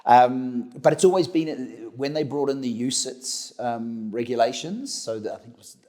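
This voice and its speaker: A man's voice: mid-range voice, normal speed, dark tone. A middle-aged man with a New Zealand accent, answering interview questions conversationally, often repeating words and restarting as he goes. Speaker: interview guest, company director.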